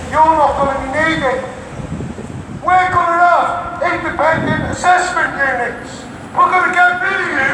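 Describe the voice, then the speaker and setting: A Scouse man making a confrontational protest speech through a megaphone outdoors, taunting with repeated rhetorical questions. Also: very high voice. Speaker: street campaigner and protester. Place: outdoors on a London city street outside an office building. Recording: echoey megaphone outdoors, distant, traffic noise.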